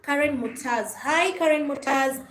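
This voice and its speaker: A woman's voice: high voice, a little slow. A woman with a Kenyan accent chats casually and in a friendly way as the host of a livestream. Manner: friendly, mixes English and Swahili, often thanks and greets viewers. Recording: echoey room with faint background noise.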